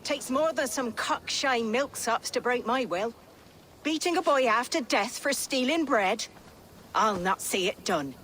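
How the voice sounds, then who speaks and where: A woman's voice: a little high, expressive, measured pace, a bit bright, a little hiss or hum. A woman playing a defiant, plain-spoken, middle-aged countrywoman in a period game drama, with earthy archaic phrasing. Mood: defiant, stern. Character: defiant, plain-spoken poor countrywoman, protective older sister.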